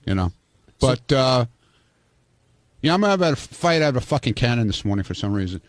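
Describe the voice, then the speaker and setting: A man's voice: mid-range voice, very animated, a little fast, dry recording. A man on a radio show arguing bluntly and irritably, with plenty of profanity. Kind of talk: heated on-air banter.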